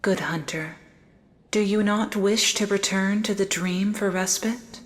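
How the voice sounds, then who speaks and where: A woman voicing a serene living doll, a gentle otherworldly guide in a gothic audio drama, soft-spoken and formal. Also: medium pitch, fairly even intonation, measured pace, natural voice. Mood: serene, solemn.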